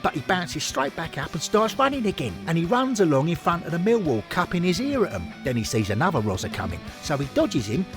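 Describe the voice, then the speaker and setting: Brash London football hooligan, wildly animated. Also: male voice, on the high side, fairly quick, few pauses, music in the background. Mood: excited storytelling. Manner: brash, slangy, swaggering. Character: rowdy, cocky old-school male football hooligan, former chief steward.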